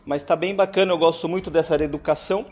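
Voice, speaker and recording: A man's voice: fairly high pitch, fairly even intonation, rapid-fire, darkish tone, slight background noise. A man introducing himself to a group at a meeting, chatty and friendly, tagging his sentences with "né". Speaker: English teacher.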